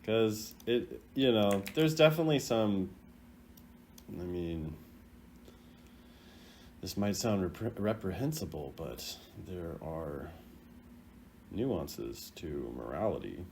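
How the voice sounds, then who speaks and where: Male video host, casual and opinionated, lower-pitched with lively intonation; slight background noise. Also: takes it slowly, long pauses. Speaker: video host rating game voice lines. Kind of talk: casual off-the-cuff commentary.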